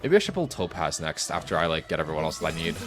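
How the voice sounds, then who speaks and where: A man's voice: extremely low pitch, sing-song, very fast, a bit bright. A man doing casual live game commentary, rambling and muttering to himself as he plays. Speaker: game streamer. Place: home office. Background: game music.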